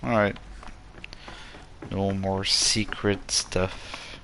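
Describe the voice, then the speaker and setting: A man's voice: deep voice, level tone, extremely slow, background noise. A man casually commenting on a video game as he streams it live.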